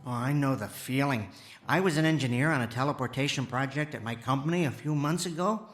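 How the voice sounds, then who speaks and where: A man playing an excitable, kindly amateur inventor in a radio drama, stammering and folksy. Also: mid-range voice, fairly quick, soft background music, natural voice. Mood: earnest. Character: excitable, kindly amateur inventor, male former teleportation engineer.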